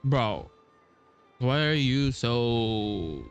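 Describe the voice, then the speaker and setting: A man with a mid-pitched voice commentates casually over a game playthrough. He talks slowly and hesitantly, musing and repeating himself, with faint music behind him. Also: animated, smooth, highs cut off. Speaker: game streamer.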